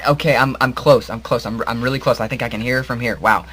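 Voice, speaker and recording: A man playing an earnest, hapless hero who narrates his story in a halting, rambling, melodramatic way in a comedic radio drama. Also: medium pitch, fairly even intonation, very noisy, natural voice. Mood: distracted.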